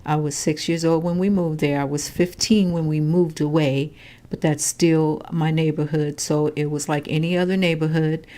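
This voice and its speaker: Low-voiced older woman recalling her childhood. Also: normal speed. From Chicago, Illinois. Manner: calm, matter-of-fact storytelling. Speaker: interviewee, grandmother. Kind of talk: oral history interview.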